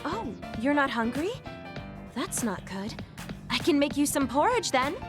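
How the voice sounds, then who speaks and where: An actress playing a gentle, polite and self-effacing young woman in a video game. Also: sits high, very animated, unhurried, music in the background. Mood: cheerful, eager. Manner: polite, apologetic.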